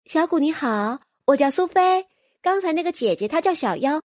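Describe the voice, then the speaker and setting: A woman voicing a friendly, gentle, coaxing teenage girl in an audiobook. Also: sits high, lively intonation, moderate pace, dry recording, clean studio sound, pitched above the performer's own voice. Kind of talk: audiobook character voice.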